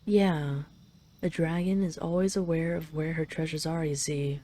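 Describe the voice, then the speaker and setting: A woman's voice: low-pitched, steady tone, moderate pace, mellow, natural voice. A woman playing a possessive, doting dragon queen in an intimate roleplay audio, speaking in a teasing, tender, lingering way. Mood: tender, confiding.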